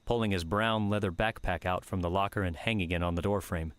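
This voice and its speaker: Deep-voiced male audiobook narrator. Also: clean tone, no room sound. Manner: measured, steady storytelling. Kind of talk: scripted narration. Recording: music bed under the voice.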